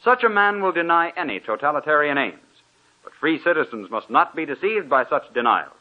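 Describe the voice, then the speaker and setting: Man announcer, formal declaiming, lively pitch, husky, tinny old radio. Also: fairly quick. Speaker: sponsor announcer.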